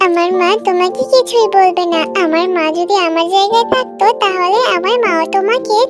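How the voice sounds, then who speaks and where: Little village girl: tiny high voice, earnest, childlike, kids' cartoon with music behind. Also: fairly quick. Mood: sympathetic, insistent. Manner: earnest, childlike, repeats herself eagerly. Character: kind, eager, innocent little village girl.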